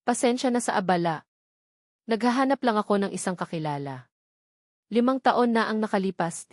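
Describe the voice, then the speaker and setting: A woman's voice: mid-range voice, animated, moderate pace, darkish tone, no echo, clean studio sound, close to an everyday voice. A woman narrator playing a determined, wealthy businessman in an audio romance story, hesitant yet insistent.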